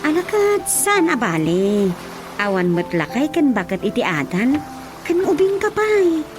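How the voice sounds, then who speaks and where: High-pitched nosy Ilocano auntie played by an actress. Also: female voice, sing-song, a little slow. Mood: inquisitive. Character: nosy, chatty village auntie questioning a young girl. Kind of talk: Ilocano radio drama comedy acting. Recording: radio drama with loud music bed.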